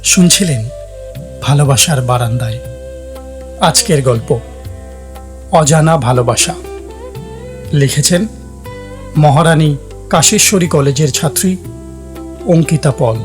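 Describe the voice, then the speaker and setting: A man's voice: fairly high pitch, takes it slowly, pauses often. A man reading a scripted announcement for an audio story programme. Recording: soft guitar music behind.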